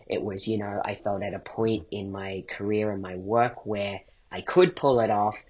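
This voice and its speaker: A man's voice: on the low side, fairly even intonation, normal speed, darkish tone, breathy edge. A man speaking as an interview guest, reflecting thoughtfully and voicing questions to himself as he talks. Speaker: guest, playwright and podcast creator. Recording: dull, muffled lo-fi sound.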